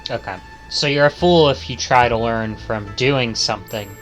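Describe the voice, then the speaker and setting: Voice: medium pitch, moderate pace, soft background music, rough recording. A streamer reading visual novel text aloud and adding casual commentary.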